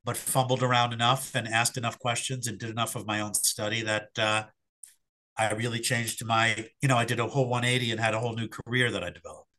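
A middle-aged man, slightly hoarse after an illness, answering interview questions in a reflective, hesitant way with fillers and restarts. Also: medium pitch, level tone, fast talker, sharp tone. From Philadelphia area. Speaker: sports psychologist guest.

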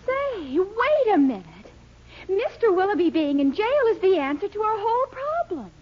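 A woman's voice: extremely high pitch, big pitch swings, normal speed. An actress playing an eager, scheming, peppy young woman in a 1940s radio comedy. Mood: excited, scheming. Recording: muffled 1940s radio recording.

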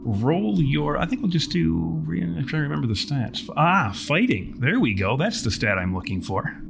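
A man's voice: on the high side, big pitch swings, normal speed, vocal fry. A man narrating a tabletop game as game master in a florid, theatrical way. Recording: noticeable background noise.

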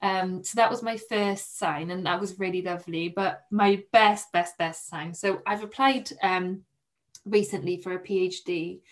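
A young Polish woman, a podcast guest, telling a personal story in a soft, hesitant way with many restarts. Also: fairly low pitch, steady tone, a little slow. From Poland.

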